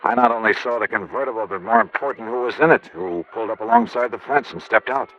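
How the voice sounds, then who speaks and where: An actor playing a brisk American investigator, narrating and questioning in a 1950s radio drama. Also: male voice, medium pitch, big pitch swings, vocal fry, fairly bright tone, soft background music. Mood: wry narrating. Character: brisk, inquisitive insurance investigator hero who narrates. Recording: muffled old radio recording.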